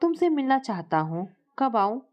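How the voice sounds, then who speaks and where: A woman's voice: a little high, very animated, normal speed, lo-fi sound, voice pushed up. Earnest young man in love voiced by a woman. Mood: eager.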